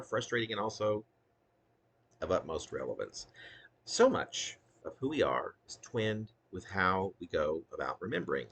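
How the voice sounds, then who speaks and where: A man's voice: fairly low pitch, sharp tone, breathy edge. A man reading his own poetry as an essay-like monologue, in a ruminative, wordy and emphatic manner. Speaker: poet reading own work. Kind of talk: spoken poetry reading, essay-like monologue with occasional unscripted asides.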